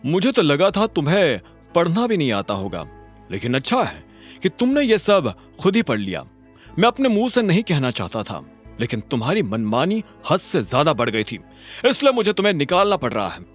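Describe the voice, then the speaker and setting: A man's voice: fairly high pitch, wildly swooping pitch, moderate pace, faint music behind. A man voicing a pompous, hot-tempered office manager. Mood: smug. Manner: haughty, bossy, shouting in anger. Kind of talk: audiobook character voice.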